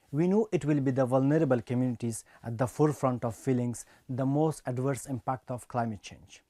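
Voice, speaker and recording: Young man from Afghanistan, measured prepared speech, mellow tone, dry close sound. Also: medium pitch, dry recording. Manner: earnest, measured delivery. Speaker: speech reader.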